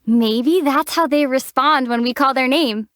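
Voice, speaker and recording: A young woman voicing a calm, dry-witted heroine in a game, teasing her companion in a deadpan way. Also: high voice, a little slow, dry recording. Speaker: player character, traveler heroine. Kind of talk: game voice acting.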